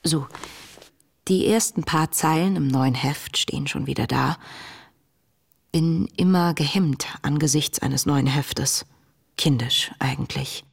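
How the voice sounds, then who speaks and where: An actress playing a young woman diarist in a radio drama, reading her entries in a hushed, reflective, tender way. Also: sits low, slow, vocal fry, background noise, heavily acted. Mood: hushed, pensive. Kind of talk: intimate diary narration in radio drama.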